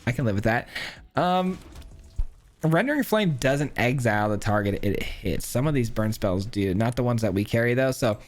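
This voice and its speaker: A man with a hoarse, cracking voice gives casual commentary on his own card game, counting aloud and thinking through his plays. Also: mid-range voice, lively intonation, normal speed. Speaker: gameplay streamer. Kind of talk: casual live gameplay commentary.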